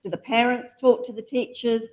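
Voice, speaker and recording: A woman's voice: on the high side, fairly even intonation, brisk, a little mellow, lo-fi sound. A woman presenting at a medical teaching session in a lecture hall, chatty and asking the audience questions. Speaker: diabetes educator presenting. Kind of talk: conference talk with audience questions.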